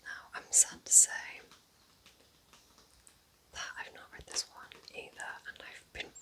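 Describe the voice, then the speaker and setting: Whispering woman, soft ASMR book chat. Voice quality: soft, breathy, unvoiced whisper. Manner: gentle, unhurried, intimate. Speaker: ASMR creator recommending books.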